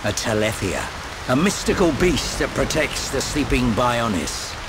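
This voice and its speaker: Worldly, wry veteran mentor. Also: male voice, mid-range voice, very animated, a little slow, background noise. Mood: wry, knowing. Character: worldly, wry older male veteran adventurer, gruff mentor. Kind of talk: video game cutscene voice acting.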